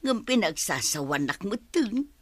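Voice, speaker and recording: A woman's voice: mid-range voice, very animated, a little slow, dry recording. An actress with an Ilocano accent playing a hard-up, plain-spoken village mother in a radio comedy drama. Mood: pleading.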